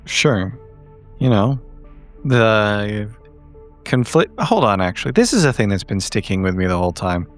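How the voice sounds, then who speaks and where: A man's voice: on the low side, lively intonation, a little slow. A man playing a tabletop roleplaying game, talking in a dry, casual, wordy way. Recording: music bed behind the voice.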